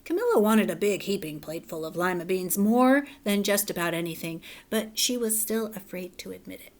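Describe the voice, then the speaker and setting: A woman teacher reading a children's story aloud and then explaining it in a clear, measured way. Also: mid-range voice, animated, darkish tone. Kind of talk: children's read-aloud and lesson.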